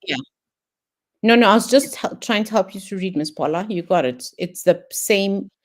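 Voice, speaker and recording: A middle-aged woman from Ethiopia, a panel guest telling her personal story in a thoughtful way, pausing often with ums and restarts. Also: mid-range voice, expressive.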